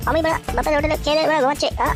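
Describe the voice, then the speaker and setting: A man voicing a cocky, lazy, foul-mouthed young son in a crude comic cartoon, with a pitched-up voice. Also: level tone, rapid-fire. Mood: lazy, smug. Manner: brash, hot-headed, swearing constantly. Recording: loud music under the voice.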